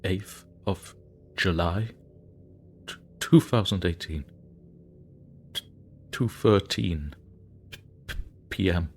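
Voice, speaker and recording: A man's voice: sits low, steady tone, slow pace, long pauses, husky, heavily acted. A man voicing a haunted, whispering killer. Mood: pleading. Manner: whispering, pleading, breaking into frantic shouts. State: exhausted and terrified. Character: haunted guilt-ridden man writing a journal. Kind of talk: horror story reading.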